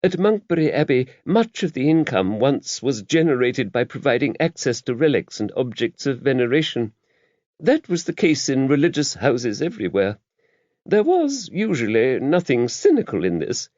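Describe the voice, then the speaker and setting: A man's voice: mid-range voice, very animated, normal speed, darkish tone, no echo, muffled recording, pitched above the performer's own voice. A man voicing a demure, earnest librarian nun with prim, scholarly speech in an audiobook. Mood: earnest, explanatory. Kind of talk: audiobook character dialogue.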